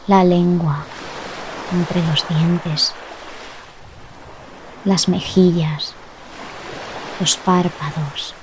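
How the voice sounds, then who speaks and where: A woman guides a relaxation meditation in a gentle, soothing voice, set a little low. She speaks very slowly in short phrases, leaving long pauses. Clearly audible sea waves wash behind her throughout. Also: slight background noise. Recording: loud ocean waves behind the voice. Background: sound of sea waves.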